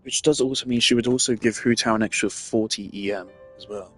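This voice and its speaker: A man with a fairly low voice talks through a game in a casual streamer's style. He rambles analytically, thinking aloud as he goes. Music plays behind him. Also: fairly quick. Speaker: gaming streamer.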